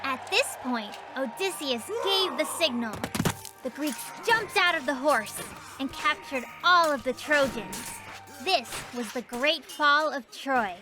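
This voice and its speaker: A woman voicing a patient, knowing young girl who tells stories in an explanatory way in an animated children's series. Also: high voice, takes it slowly. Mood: storytelling. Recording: music behind the voice.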